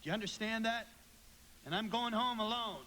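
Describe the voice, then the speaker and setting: Desperate family man, male actor, high agitated voice, stammering pleas, live radio drama with noisy sound. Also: fairly quick, natural voice. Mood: angry. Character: desperate, distraught everyman husband and father, George. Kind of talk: live radio play acting.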